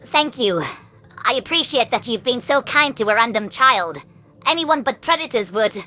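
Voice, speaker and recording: A woman acting an anxious alien insect mother for a sci-fi audio drama. Her voice sits high and bright, pleading and apologetic, with music playing underneath. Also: lively intonation, normal speed. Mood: subdued, grateful.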